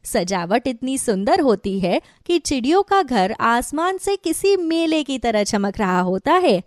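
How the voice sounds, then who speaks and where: A woman's voice: on the high side, very animated, unhurried, darkish tone, no echo, studio quality. A woman narrating a scripted children's story.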